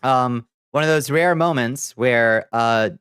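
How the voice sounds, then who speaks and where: Man, livestream host, clear resonant voice, dry close sound, halting, profane, casual news chat. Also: medium pitch, fairly bright tone, no room sound. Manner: profane, halting, muttering asides.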